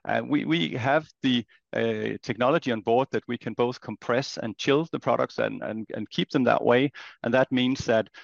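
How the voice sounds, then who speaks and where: A man's voice: mid-range voice, normal speed, vocal fry, no echo. A man speaking as a company chief executive in an interview, explaining at length and repeating words often. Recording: dull lo-fi, dry sound.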